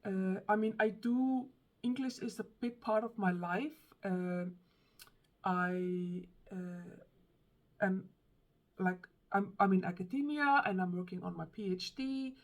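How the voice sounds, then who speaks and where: A woman's voice: medium pitch, takes it slowly. A woman from Iceland speaks English with an Icelandic accent, talking casually to the camera. She is thoughtful and hesitant, with many ums and false starts as she searches for her words. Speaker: book vlogger.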